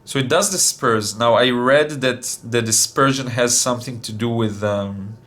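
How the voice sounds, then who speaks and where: A young man demonstrating watercolor paints, talking casually with many fillers, his voice still a little deep after being sick. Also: normal speed. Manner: relaxed, thinking aloud with many fillers. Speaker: art channel host.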